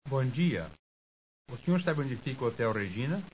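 A man playing a polite American visitor asking for directions in a careful, deliberate language-lesson dialogue. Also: mid-range voice, expressive, close to an everyday voice. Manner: deliberate, clearly enunciated, courteous. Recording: muffled hissy old language tape.

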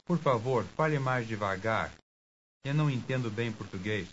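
A man playing a polite American visitor asking for directions in a careful, deliberate language-lesson dialogue. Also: fairly low pitch, close to an everyday voice. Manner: deliberate, clearly enunciated, courteous. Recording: muffled hissy old language tape.

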